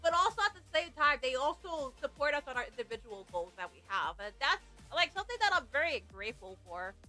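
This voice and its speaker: A woman's voice: sits high, sing-song, fast talker, bright, forceful tone. A young woman from Hawaii chatting casually on her livestream, bubbly and rambling with lots of fillers. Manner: bubbly, rambling, many fillers, squealing greetings. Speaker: VTuber streamer. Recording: faint music behind. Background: faint background music.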